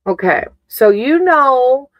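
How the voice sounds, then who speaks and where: Lady streamer doing tarot readings, kinda high bright voice, encouraging and spiritual, chatting casually, really dry sound. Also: very animated, takes it slowly, dry recording. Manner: reassuring, encouraging. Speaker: tarot reader hosting a livestream. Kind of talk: casual live tarot card reading with chat.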